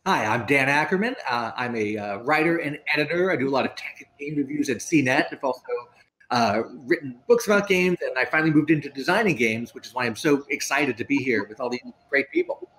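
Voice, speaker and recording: A man's voice: medium pitch, expressive, a little fast, fairly bright tone, low-quality recording. A man casually introducing himself as a panelist on a video call. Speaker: panelist, writer and editor. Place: indoor room with bookshelves.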